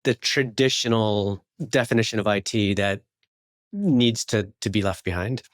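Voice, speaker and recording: A man's voice: lowish voice, normal speed, no echo, studio quality. A man hosting a podcast interview, putting questions to his guest. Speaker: podcast host.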